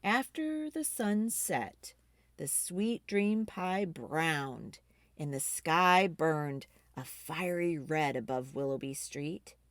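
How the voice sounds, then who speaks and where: A woman reading a children's book aloud expressively, with dramatic emphasis and drawn-out key words. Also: deep voice, expressive, no room sound. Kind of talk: expressive storytime read-aloud.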